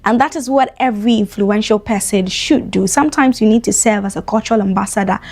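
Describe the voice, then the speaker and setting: A woman's voice: mid-range voice, quick pace, a little mellow. Chatty woman hosting entertainment news. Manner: chatty, addresses fans directly. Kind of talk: breakfast show news presenting.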